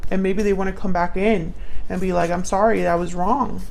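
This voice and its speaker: A woman's voice: lowish voice, fairly even intonation, moderate pace, vocal fry, darkish tone, a little hiss or hum, low-quality recording. A woman doing a casual, intuitive tarot reading, thinking aloud as she talks directly to the listener. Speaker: tarot reader, channel host.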